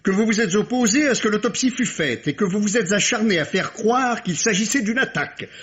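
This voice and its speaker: A shrewd police inspector in a vintage radio whodunit. He speaks in a fairly high voice, formally and methodically, pressing each point with precision. The recording is dull and lo-fi. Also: lively intonation, a little fast, a bit bright. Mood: accusing. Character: shrewd, insistent police inspector questioning a family. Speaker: radio drama actor. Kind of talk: vintage French radio mystery acting.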